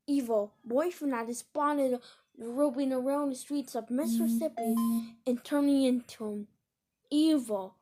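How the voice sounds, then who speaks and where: A woman's voice: sits high, mellow. A woman reading a scripted emergency broadcast announcement in a formal, stilted, solemn way.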